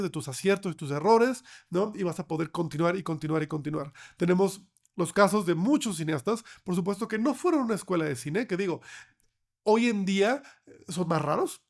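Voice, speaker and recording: A slightly hoarse man with a Mexican accent explaining things conversationally as a podcast guest, often ending on tag questions. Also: high voice. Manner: checks in with frequent tag questions. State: slightly hoarse from getting over a cold. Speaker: podcast guest, film researcher.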